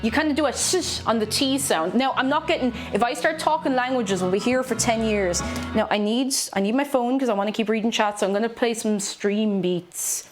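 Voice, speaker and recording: A young Irish woman with a Tipperary accent that carries a touch of Dublin chats warmly to her live chat, laughing easily and perking up when she greets people. Her voice sits a little high. Stream music plays clearly behind her, and her voice fades now and then as she steps away from the mic. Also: fairly quick. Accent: Irish, from Tipperary with some Dublin. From Ireland. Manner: chatty, laughs often, greets chat with bursts of excitement. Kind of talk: casual chat while reading live chat and cooking. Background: background music playing.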